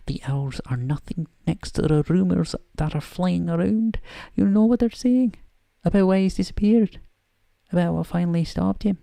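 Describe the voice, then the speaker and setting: Man putting on a stern Scottish woman professor, raised pitch. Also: normal speed, creaky, warm tone, dry recording. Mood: anxious, urgent. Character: stern, irritable older female professor, exasperated and proper. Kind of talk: softly voiced bedtime story character acting.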